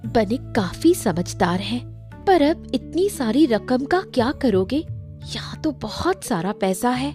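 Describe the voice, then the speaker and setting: A woman acts out a wise village wife in a cartoon tale. She is supportive and reassuring, with a mellow tone and very lively, expressive intonation. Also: on the high side, slow, faint music behind. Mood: surprised, agreeing. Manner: earnest, reassuring. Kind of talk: Hindi cartoon story voice acting.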